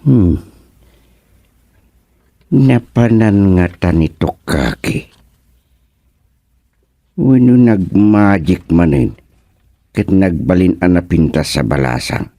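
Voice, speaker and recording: A very low, dark, gravelly frog-man, acted by a man for a comedic radio serial. He grumbles haltingly, slipping croaks into his slow talk. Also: no echo, clean studio sound, heavily acted. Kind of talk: comedic radio drama acting.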